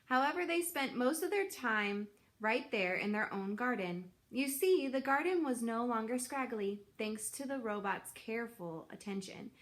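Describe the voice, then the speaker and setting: A woman narrating a children's book aloud in an expressive storyteller style. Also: on the high side, moderate pace.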